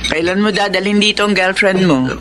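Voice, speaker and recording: A woman playing a doting, excitable middle-aged mother in a Tagalog radio drama, fussing and prodding. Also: mid-range voice, brisk, over loud music, close to an everyday voice. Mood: fussing, excited.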